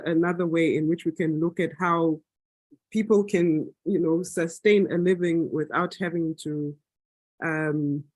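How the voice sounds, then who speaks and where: A man from Zambia, speaking thoughtfully at a planning meeting, often pausing with fillers and restarting his sentences. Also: fairly high pitch, a little mellow, dry recording, poor audio. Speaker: meeting participant. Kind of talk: unscripted talk at a planning meeting.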